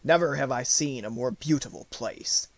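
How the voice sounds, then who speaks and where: A man's voice: mid-range voice, normal speed, no echo, close to an everyday voice. Noble storybook lion king, performed by a male narrator, assured and stately, recorded very dry. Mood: delighted. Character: proud brave male lion, king of beasts.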